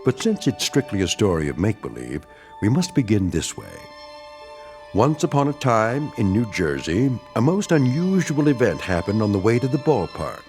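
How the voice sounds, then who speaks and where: A man's voice: lowish voice, big pitch swings, normal speed, darkish tone, soft background music. An American man narrating a radio drama as a wry, folksy, theatrical storyteller introducing an eerie tale. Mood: wry, ominous.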